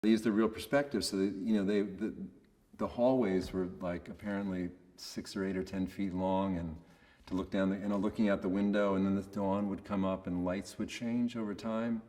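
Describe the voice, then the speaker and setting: A man giving a casual, reflective interview in a monotonous voice, hesitant and understated as he searches for words. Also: deep voice, moderate pace, big-room sound. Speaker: voice actor being interviewed.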